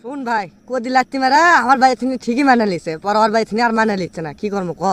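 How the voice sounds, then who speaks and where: A man's voice: very high-pitched, racing, fairly bright tone. A man voicing a heartbroken, stubborn young cartoon character with a Bogura Bangladeshi accent, complaining in a plaintive, earnest way. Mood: dejected complaining. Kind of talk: comic cartoon dialogue.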